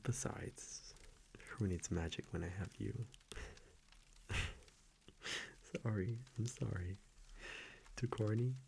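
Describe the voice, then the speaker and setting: A man playing a gentle, affectionate vampire boyfriend in an intimate ASMR audio roleplay, speaking softly and often dropping into a whisper. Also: fairly low pitch, slow, long pauses, a little hiss or hum. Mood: playful, relaxed. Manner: soft-spoken, breathy, tender, often dropping to a whisper. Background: soft fireplace ambience.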